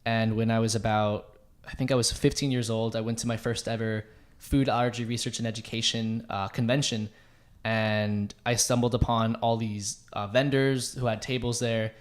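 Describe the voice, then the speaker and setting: A man's voice: fairly low pitch, fairly even intonation, normal speed. A young man, a student club president, chatting on a podcast in an upbeat, promotional way. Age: young adult. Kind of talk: casual podcast chat.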